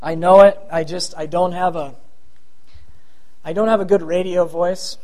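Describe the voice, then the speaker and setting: A man's voice: sits high, fairly even intonation, unhurried, mellow. A middle-aged man preaches with a thin, light voice. He talks to his congregation in a chatty, self-deprecating way, wandering into asides before returning to the point. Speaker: pastor. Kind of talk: sermon.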